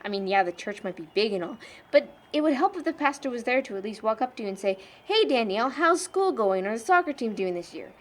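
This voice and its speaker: A woman's voice: a little high, sing-song, quick pace. A young woman playing a blunt, sardonic teenage churchgoing girl in an amateur audio drama, frank and slangy. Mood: indignant.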